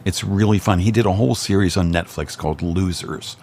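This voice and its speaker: American man, low voice, unhurried guest telling stories with faint background noise. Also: vocal fry, a little mellow. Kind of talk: casual chat.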